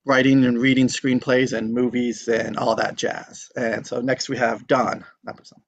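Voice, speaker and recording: Laid-back host guy, bit of creaky fry in his voice, chatting casually. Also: medium pitch, little pitch movement, moderate pace, dull audio, slight background noise. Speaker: host introducing table read cast. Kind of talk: casual live introductions.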